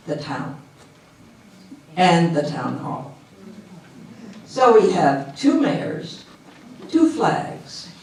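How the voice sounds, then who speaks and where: Woman introducing a play on stage, slow n measured, far mic, very echoey. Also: lowish voice, a little mellow. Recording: echoey room, distant mic, noisy.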